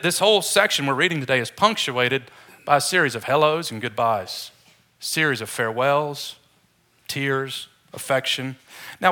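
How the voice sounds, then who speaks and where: A man's voice: mid-range voice, pushed and bright. A man preaching a conversational sermon, informal and joking with his congregation.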